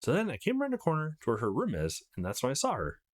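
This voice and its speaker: Visual novel role: male reader as feisty young woman, foul-mouthed tease, blunt gossip, very lively intonation. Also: medium pitch, fast talker. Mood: gleeful storytelling. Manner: cheeky, blunt, gossipy storytelling.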